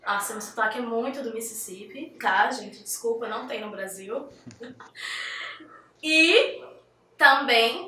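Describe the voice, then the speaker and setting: Bright-voiced woman host in an echoey room. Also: fairly high pitch, recorded from a distance, a little hiss or hum. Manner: chatty, filler-heavy, jokey. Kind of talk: casual interview hosting.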